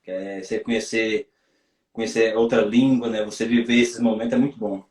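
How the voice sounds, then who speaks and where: A man's voice: fairly low pitch, flat delivery, fairly quick, a little mellow. Man, Brazilian accent, casual interview talk, rambling and repetitive, echoey room, distant from the mic. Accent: Brazilian Portuguese. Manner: rambling, repeats himself, many restarts. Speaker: footballer guest. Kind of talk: casual interview over a live stream. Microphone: video call. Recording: roomy and far from the mic.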